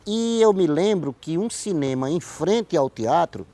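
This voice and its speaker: Male interview guest, relaxed dark timbre, Northeastern Brazilian accent, conversational storyteller who restarts and repeats for emphasis. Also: on the high side, a little slow. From Paraíba, Brazil. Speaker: interview guest, writer and playwright. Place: TV studio.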